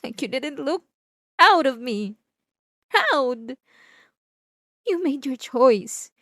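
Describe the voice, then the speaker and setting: A woman performs as a possessive yandere wife, obsessed with her spouse. Her voice is on the high side and sits close and dry, with no room sound. She speaks intimately and theatrically, in the manner of an ASMR roleplay monologue. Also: lively intonation, bright, forceful tone, dry recording, heavily acted. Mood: angry, possessive. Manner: intimate, theatrical, drawn-out emphatic phrases.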